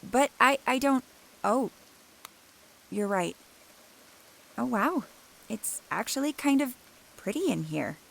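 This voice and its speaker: Noelle, skittish young woman, creaky lively voice. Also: on the high side, takes it slowly, long pauses, slight background noise, heavily acted. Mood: wary, puzzled. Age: young adult. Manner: casual, hesitant, muttering to herself. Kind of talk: naturalistic audio drama acting.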